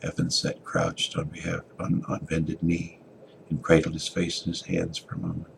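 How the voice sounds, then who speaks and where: Very deep gravelly man reading dramatically. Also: very animated, normal speed, noisy background. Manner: theatrical, shifting between hushed and forceful. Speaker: author reading a short story.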